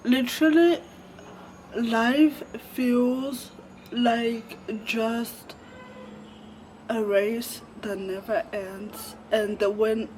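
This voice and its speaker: A man's voice: very high-pitched, a little mellow, slight background noise, poor audio. A twenty-year-old man from Zimbabwe, vlogging in a casual, rambling, candid way, with lots of fillers and the odd swear word. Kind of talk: casual reflective chat to camera. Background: faint crickets and insects.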